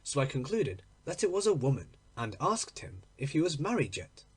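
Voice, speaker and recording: A man reading a literary story aloud in an expressive, measured, deliberate narrating style. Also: mid-range voice, slightly rough. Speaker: audiobook reader.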